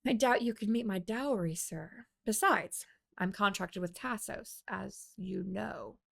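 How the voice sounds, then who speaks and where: Audiobook role: young woman fighter read by a female narrator, guarded, dryly witty, creaky mid-range voice. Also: mid-range voice, unhurried, a bit bright, heavily acted. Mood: wary deflecting. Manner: dry, light, wary. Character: young female fighter, wry, guarded, flustered yet dry.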